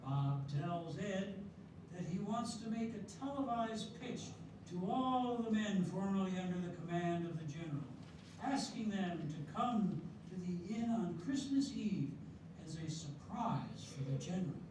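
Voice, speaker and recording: An older man narrating a readers theatre show in a steady, measured way, recorded from far off in an echoey hall. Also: high-pitched, noisy background. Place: senior center hall.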